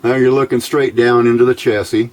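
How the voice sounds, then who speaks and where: A man's voice: medium pitch, little pitch movement, fairly quick, a little mellow, a little hiss or hum, low-quality recording. A man presenting an informal hands-on electronics build, talking in a folksy, plainspoken way as he thinks aloud.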